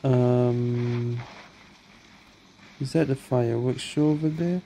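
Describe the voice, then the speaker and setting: A woman's voice: extremely low pitch, steady tone, slow, warm tone, a little hiss or hum, low-quality recording. A woman gaming streamer giving casual commentary as she plays. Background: game music.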